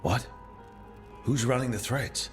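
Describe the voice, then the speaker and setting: An actor playing a wry, supportive adventurer and leader in a video game, with a casual delivery. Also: male voice, mid-range voice, takes it slowly, soft background music, natural voice. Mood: concerned.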